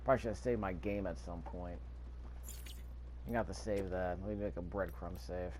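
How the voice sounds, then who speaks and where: Low-voiced man muttering through a game. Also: steady tone, normal speed, darkish tone, a little hiss or hum. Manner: thinking aloud, mildly grumbling, rhetorical questions. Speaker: video game player narrating a playthrough. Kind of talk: casual gameplay commentary.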